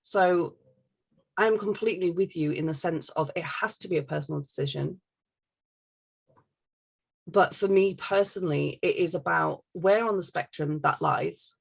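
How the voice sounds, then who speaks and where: A woman's voice: on the low side, normal speed, gravelly, pauses often, breathy edge. A woman talking casually and candidly, giving her opinions emphatically and swearing freely.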